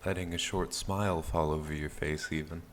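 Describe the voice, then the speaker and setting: A man leading a guided meditation in a calm, soothing, gentle manner with long pauses. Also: a bass voice, slight background noise. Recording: steady rain sound behind the voice. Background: rain backtrack.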